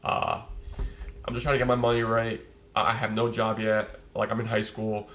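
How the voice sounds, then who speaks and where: A man's voice: lowish voice, little pitch movement, normal speed, a bit bright, slight background noise. A man in his twenties from Poland, a podcast guest telling his life story in a loose, slangy way. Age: 20s. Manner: slangy, loose storyteller, fillers like "you know". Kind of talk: casual chat, storytelling.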